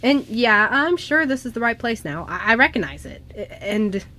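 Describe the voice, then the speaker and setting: A woman playing a playful, forgetful young male ghost in an amateur radio drama, talking casually and haltingly. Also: a little high, sing-song, bright, forceful tone. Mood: playful, assured.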